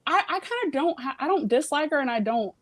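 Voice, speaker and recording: A woman's voice: high voice, expressive, brisk, no room sound, studio quality. A young woman from Virginia with Jamaican family, calling in to a casual chat show and talking frankly with lots of fillers and some swearing. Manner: rambling, frank, swears freely, many fillers. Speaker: call-in guest. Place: home.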